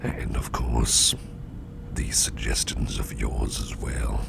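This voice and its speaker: Very deep, gravelly whispering boss played by a man. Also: flat delivery, very noisy, exaggerated voice. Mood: relaxed, musing. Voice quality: very low, gravelly, breathy near-whisper. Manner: unhurried, soothing, indulgent, musing aloud. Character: attentive, easygoing businessman boss planning a Halloween party. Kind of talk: ASMR personal-attention roleplay, softly spoken and whispery.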